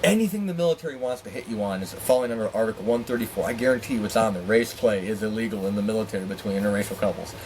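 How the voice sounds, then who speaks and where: A man telling a personal story in a candid, profane, animated way, acting out dialogue with exasperated asides. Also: on the low side, racing, hardly any pauses, slight background noise, poor audio. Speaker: storyteller and host.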